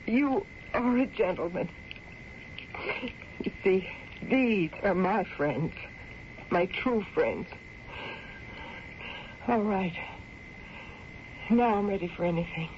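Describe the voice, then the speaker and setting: A woman's voice: medium pitch, expressive, slow pace, pauses often. An actress playing a determined, distrustful armed woman of the Old West in a radio drama, speaking in an emphatic, dramatic way. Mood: grateful, resolute. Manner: emphatic, dramatic, repeats words for effect. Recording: muffled, noisy old radio drama recording.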